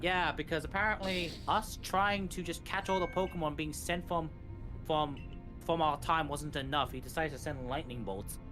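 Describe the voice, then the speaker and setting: A man's voice: fairly high pitch, fairly even intonation, moderate pace. A man giving live, explanatory gameplay commentary on a speedrun, often repeating and rephrasing as he goes. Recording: music behind the voice. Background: game music.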